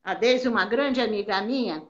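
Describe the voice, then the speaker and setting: An older Brazilian woman, a dance teacher, telling stories of her career in an animated way and repeating words for emphasis. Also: mid-range voice, a little fast, lo-fi sound. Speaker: belly dance teacher, interviewee. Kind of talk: casual interview answers.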